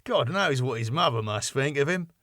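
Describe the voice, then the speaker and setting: A man's voice: medium pitch, expressive, normal speed, a bit bright, no room sound, studio quality, pitched above the performer's own voice, close to an everyday voice. A man voicing a grumbling, disapproving bouncer who talks in scornful, colloquial phrases.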